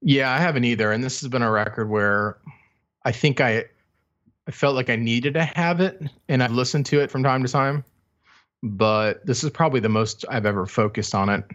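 A man's voice: mid-range voice, moderate pace, fairly bright tone. A middle-aged man chatting casually as co-host of a music podcast, on slightly lo-fi audio. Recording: slightly dull, lo-fi sound.

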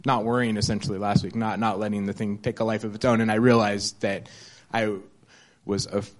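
Hesitant low-voiced man thinking aloud. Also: level tone, fairly quick. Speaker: audience member sharing. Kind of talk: unscripted personal reflection.

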